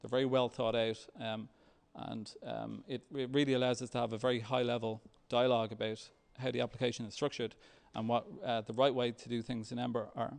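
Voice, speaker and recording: A man giving a software talk with a live demo, speaking in a halting, hesitant way. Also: mid-range voice, level tone, creaky. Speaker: software developer presenting at a tech meetup.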